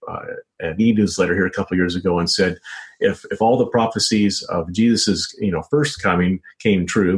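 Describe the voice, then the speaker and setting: A middle-aged or older man answering as an interview guest, speaking conversationally and haltingly, often repeating words and saying "you know". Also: sits low, low-quality recording. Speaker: interview guest, journalist and author.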